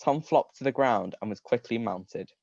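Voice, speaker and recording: A man's voice: mid-range voice, moderate pace, creaky, muffled recording, noisy background. A man giving a live comedic reading of a story, amused, often breaking into laughter and tripping over his words. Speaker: reader of comic fan fiction.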